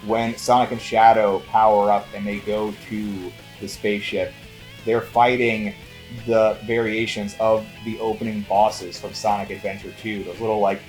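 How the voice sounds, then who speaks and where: A man's voice: lowish voice, little pitch movement, normal speed, a little mellow, poor audio. Enthusiastic man in casual movie chat, music behind. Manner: enthusiastic, profane fan talk. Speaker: podcast co-host.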